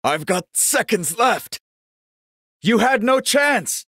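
A man voicing a genial, vain old warrior monk in a video game, speaking in a measured, courtly and wryly pious manner. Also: high-pitched, very animated, pushed and bright, breathy edge, dry recording, studio quality, full character voice. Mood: urgent, commanding. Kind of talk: scripted game character voice lines.

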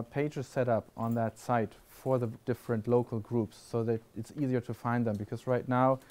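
A man's voice: medium pitch, mellow. A man on a conference panel answering audience questions, often restarting sentences with fillers. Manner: thoughtful, many restarts and fillers. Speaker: panel speaker. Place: classroom lecture hall with audience.

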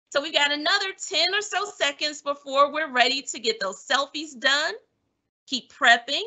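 A woman event host with a high voice and a very bright, forward tone. She speaks to an online audience in an encouraging, presenter-like way.

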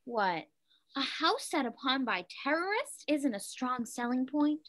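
A woman's voice: high voice, very animated, a little slow, a bit bright, full character voice. A young woman reading the part of a plucky, sardonic daughter in a play over a video call. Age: young adult. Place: room at home. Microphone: computer mic on video call.

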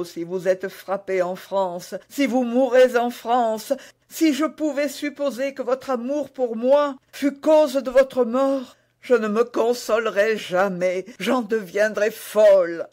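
A man's voice: high-pitched, a little mellow. A man voicing an anguished, dignified queen torn by forbidden love, agitated and imploring, in a historical romance audiobook.

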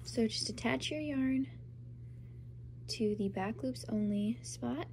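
A woman's voice: a little high, slow pace, darkish tone, a little hiss or hum. A young woman with a stuffy, congested nose from a cold, chatting through a crochet tutorial in an upbeat, casual way. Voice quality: nasal, congested. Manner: chatty, upbeat, talks over her hands-on work.

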